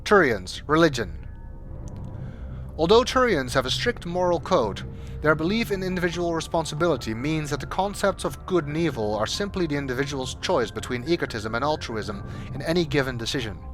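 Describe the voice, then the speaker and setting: A man's voice: fairly high pitch, sing-song, fairly bright tone. Man narrating encyclopedia entries over music. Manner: measured, formal, expository reading. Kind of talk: scripted documentary-style narration of game encyclopedia entries. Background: background music.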